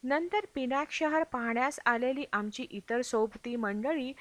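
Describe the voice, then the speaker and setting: A woman's voice: high voice, unhurried, no room sound. A woman reading a travel memoir as scripted audiobook narration. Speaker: audiobook reader.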